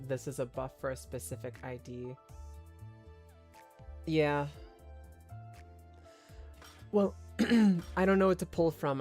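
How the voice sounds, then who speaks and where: A male streamer with a hoarse, tired voice, rambling and thinking aloud as he chats casually while playing a game. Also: a little high, darkish tone. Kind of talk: casual gameplay commentary.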